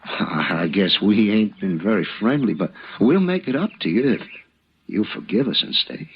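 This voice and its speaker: An actor playing a humble, plain-spoken townsman in a 1950s radio Western, halting and folksy. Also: fairly low pitch, lively intonation, a little hiss or hum. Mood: sheepish, apologetic. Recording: muffled old radio recording.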